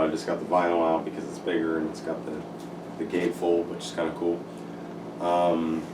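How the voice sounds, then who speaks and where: A man giving a casual, rambling album review full of ums and likes, his voice still recovering after losing it. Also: low-pitched. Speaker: music reviewer. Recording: echoey room, distant mic, noisy.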